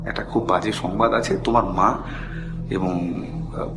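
A middle-aged man tells a personal story in a conversational, halting way, mixing in English phrases. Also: deep voice, fairly even intonation, heavy background noise. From India. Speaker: caller telling a personal ghost story. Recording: background music under the voice. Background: loud music.

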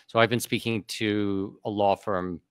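A man's voice: fairly low pitch, fairly even intonation, unhurried, smooth, no room sound. A man in his thirties hosting a live webinar, reading out viewer questions and answering them at length into a studio mic. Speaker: host. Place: dim home studio. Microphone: studio mic on boom arm.

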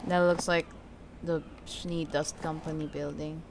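Young woman playing a game n chatting, slow slangy talk, pitch jumps around, noisy w/ game ambience. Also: flat delivery, creaky. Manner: informal, slangy, reactive. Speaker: gaming video creator. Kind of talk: casual let's-play commentary.

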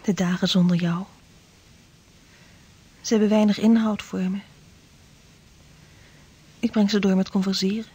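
An actress playing a devoted, yearning young bride-to-be of a seafarer in radio drama, with a breathy voice. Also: female voice, mid-range voice, fairly even intonation, unhurried, pauses often, mellow. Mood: wistful. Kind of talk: Dutch radio drama acting.

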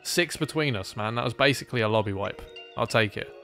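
A man streaming a game, chatting casually with a teammate in an excitable, sweary, exclaiming way. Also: medium pitch, a little fast, faint music behind. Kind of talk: casual gaming commentary with a teammate.

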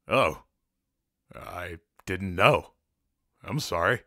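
A man's voice: low-pitched, expressive, drawn-out words, pushed and bright, no echo, clean studio sound, heavily acted. A man playing a stressed, stubborn cartoon echidna with a blunt, defensive manner in a fan audio drama. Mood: subdued.

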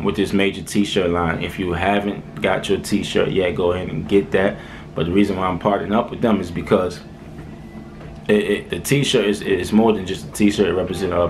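A young man with a light Haitian accent talks to camera in a quiet small room, his voice very low and flat. He drifts along easily, padding his talk with "um" and "all right". A faint hum of the room sits behind him. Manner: laid-back, rambling, many "um"s and "all right"s. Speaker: vlogger. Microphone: camera close in front.

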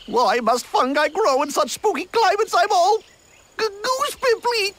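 A man voicing a fussy, excitable elderly mad scientist in an animated game, dithering and theatrical. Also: very high voice, measured pace, background noise. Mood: nervous, relieved. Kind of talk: animated game cutscene acting.

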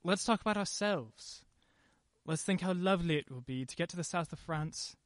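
An actor playing an evasive husband in a radio play, theatrical and patronizing, smooth but quick to get irritable. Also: male voice, a little high, no room sound, clean studio sound, close to an everyday voice. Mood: coaxing.

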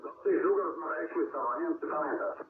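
A man's voice: on the high side, fast talker. A man chatting casually over CB radio in a hesitant, rambling way. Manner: hesitant, rambling, clears throat. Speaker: CB radio operator. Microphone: heard over CB radio. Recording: tinny echoey radio link, loud background chatter.

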